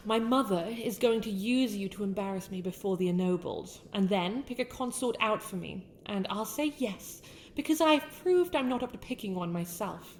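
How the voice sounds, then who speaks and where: A woman's voice: medium pitch, animated, moderate pace, slight background noise, natural voice. A woman with a British accent playing a haughty young winter princess in a scripted ASMR roleplay, speaking in formal, courtly phrases. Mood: tender, resigned. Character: haughty sharp-tongued young winter princess with a softer, regretful side.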